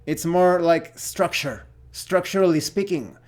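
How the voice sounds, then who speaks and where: A man with a Mexican accent gives a casual opinion monologue as a gaming vlogger, speaking haltingly and searching for words. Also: on the high side, darkish tone.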